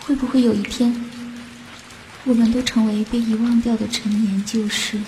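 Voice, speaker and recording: A woman playing a lovelorn young sock in a fairy-tale radio drama, speaking her inner thoughts softly and plaintively. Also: fairly high pitch, level tone, normal speed, a little hiss or hum, lightly acted. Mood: wistful longing. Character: wistful, lovelorn young female left-foot sock. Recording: long reverb, music behind. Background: clear background music.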